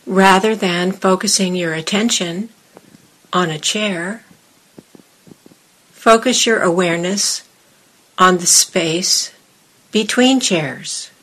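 A woman meditation teacher leading a guided meditation, giving measured instructions in short phrases with long pauses. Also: lowish voice, fairly bright tone, rough recording.

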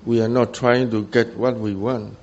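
A man with a Burmese accent, a meditation teacher, giving guided instruction in short simple phrases with long pauses between them. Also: mid-range voice, little pitch movement, dull audio. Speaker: meditation teacher, Buddhist monk.

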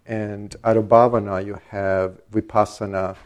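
A man from El Salvador giving a relaxed, conversational dharma talk to a meditation group. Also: lowish voice, measured pace. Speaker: meditation teacher.

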